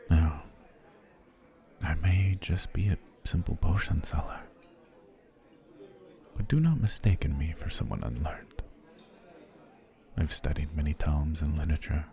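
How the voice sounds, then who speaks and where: An actor playing an obsessive, smooth-talking male potion seller in an ASMR roleplay, speaking in soft, flowery, courtly phrases that often drop to a whisper. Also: very deep voice, full character voice.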